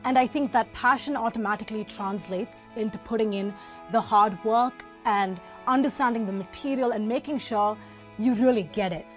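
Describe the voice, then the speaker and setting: A young university student from Singapore recalling personal experiences in an interview, candid and reflective in a conversational way. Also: female voice, fairly high pitch, normal speed, faint music behind. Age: young adult.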